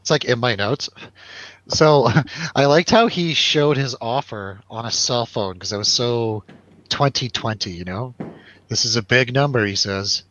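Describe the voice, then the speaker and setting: A man's voice: medium pitch, measured pace, a bit bright, highs cut off. A man chatting casually and jokily as host of a film review show, in informal, slangy talk. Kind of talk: casual chat, film review over drinks.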